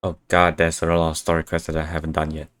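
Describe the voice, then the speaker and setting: A man's voice: very deep voice, completely flat delivery, fast talker, fairly bright tone, dry recording, studio quality. A man giving casual, unscripted live gameplay commentary as a streamer, muttering to himself. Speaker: game streamer. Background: faint game music.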